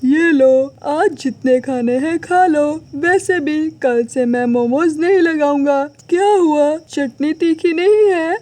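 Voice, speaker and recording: A woman's voice: sits high, takes it slowly, few pauses, a little mellow, no room sound. A woman voicing a devoted, hardworking, polite young man who is a poor street seller in a cartoon moral story. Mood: kindly, polite. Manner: earnest, dutiful, polite.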